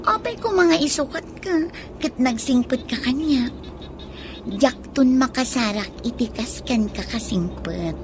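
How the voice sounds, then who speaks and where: An actress playing a coaxing young student who juggles two lovers in a radio drama, with an Ilocano accent. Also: female voice, high voice, animated, measured pace, background noise. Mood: coaxing, apologetic. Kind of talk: Filipino radio drama acting.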